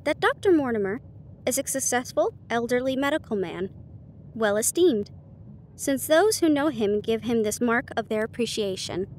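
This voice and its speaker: A woman voicing an earnest, eager gentleman, a detective's companion, speaking formally in an audiobook. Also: fairly high pitch, expressive, normal speed, slight background noise, lightly acted.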